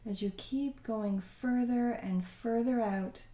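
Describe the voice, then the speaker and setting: A woman leading a guided visualization meditation in a soothing, unhurried, gently instructing way. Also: a little high, reverberant.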